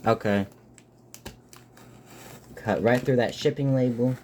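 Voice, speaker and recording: A host chatting informally and a little haltingly while unboxing items at a desk, with wry exasperation. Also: mid-range voice, a little mellow. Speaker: host unboxing weather radios. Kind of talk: casual live chat and unboxing. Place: at a desk indoors. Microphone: desk microphone set a bit low. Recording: noisy desk microphone with clicks.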